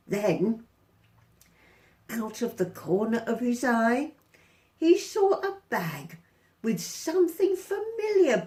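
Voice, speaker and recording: A woman reads a children's story very slowly and deliberately. Her pitch is high and moves in wide, theatrical swoops, with a sing-song storyteller's delivery. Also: dark tone. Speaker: volunteer storyteller. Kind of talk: expressive picture-book reading for children.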